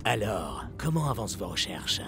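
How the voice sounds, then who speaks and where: A man playing a gruff cartoon associate who questions things dryly. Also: lowish voice, big pitch swings, moderate pace, music in the background. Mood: sceptical. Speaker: voice actor in a children's animated series.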